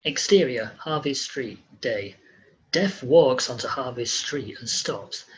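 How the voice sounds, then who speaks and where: A man with a very rough, husky voice at a medium pitch narrates screenplay directions in a scripted style. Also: expressive, slow, rough recording. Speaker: narrator reading stage directions.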